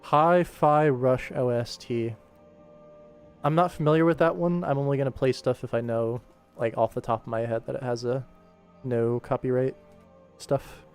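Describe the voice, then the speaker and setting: A young man with a mid-pitched voice talks casually as an animator streaming his work. He rambles, jokes and pokes fun at himself as he goes. Music plays behind him. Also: steady tone, normal speed, darkish tone, clear, resonant tone. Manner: rambling, self-deprecating, jokey. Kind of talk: casual livestream chat while working. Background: background music.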